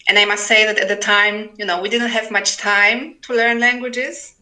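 A woman's voice: medium pitch, fairly even intonation, normal speed, bright, forceful tone. A woman, talking conversationally and with wry humor as an interview guest. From Poland. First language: Polish. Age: 40s. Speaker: podcast guest, writer. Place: remote internet call.

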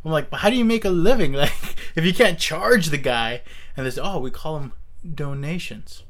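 A host leading a loose interview, animated and rambling. The host restarts sentences often while speaking into a desk microphone. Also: medium pitch, fairly quick. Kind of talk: casual interview chat.

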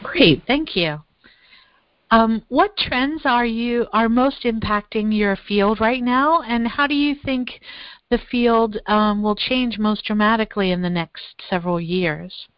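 A young woman studying museum studies, politely asking prepared interview questions with some halting restarts. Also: on the high side, smooth, no room sound, muffled recording. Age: young adult.

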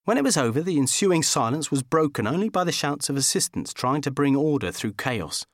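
A man narrating a comic first-person audiobook in a wry, expressive storytelling manner. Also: fairly high pitch, fairly quick, dry recording, clean studio sound.

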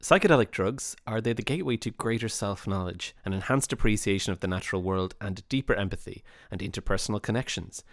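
A man's voice: on the low side, fast, few pauses, dry recording, clean studio sound. A man hosting a podcast, articulate and playful in his introductions. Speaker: host.

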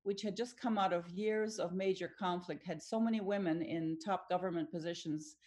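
A woman's voice: on the low side, fairly even intonation, normal speed, a little mellow. Thoughtful middle-aged woman, Finnish native. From Tanzania. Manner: thoughtful, many restarts and small laughs. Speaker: academic researcher guest. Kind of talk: interview answers.

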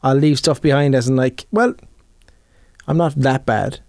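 A man's voice: mid-range voice, measured pace, creaky, a little mellow, no echo, clean studio sound. A young man co-hosting a podcast, joking around in easygoing casual chat. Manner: easygoing, jokey banter. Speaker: podcast co-host.

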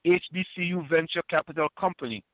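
A man's voice: on the high side, fast talker, dry recording. A man in his sixties from Trinidad and Tobago, a former Wall Street compliance officer, talking earnestly as an interview guest and often repeating words as he restarts. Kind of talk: casual interview talk. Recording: phone-line call, dry.

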